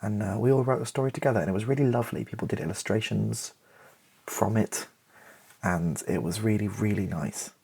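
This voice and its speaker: Guy, really husky n creaky, rambly asmr chat, kinda wry and self-deprecating. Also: lowish voice, brisk, darkish tone. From Britain. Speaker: ASMR YouTuber and playwright. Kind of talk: relaxed ASMR-style casual chat to camera.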